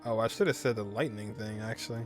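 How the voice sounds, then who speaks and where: A man's voice: on the low side, fast talker. A young man casually commenting while playing a video game, chatty with joking asides. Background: faint game music.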